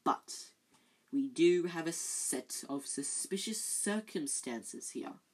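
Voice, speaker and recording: A cold, sneering male schoolmaster speaks in slow, hushed tones, as a woman reads him out. The voice sits low and husky, drawing out each word with quiet menace. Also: lively intonation, exaggerated voice.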